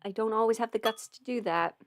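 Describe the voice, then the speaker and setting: A woman's voice: fairly high pitch, quick pace. A woman casually rambling as a livestream host, hesitant, interrupting herself and thinking aloud as she goes. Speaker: livestream host, audio roleplay creator.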